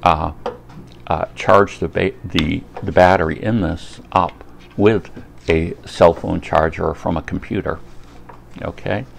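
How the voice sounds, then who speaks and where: A man giving a casual, unscripted product review in a rambling way, pausing often to think. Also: low-pitched, steady tone, vocal fry, slight background noise.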